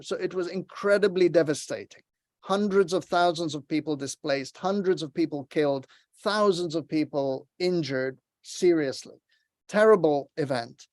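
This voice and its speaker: A man's voice: on the high side, darkish tone, dry recording, clean studio sound. A man from Lebanon giving an online workshop talk, earnest and encouraging as he tells a story over a video call. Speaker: workshop presenter.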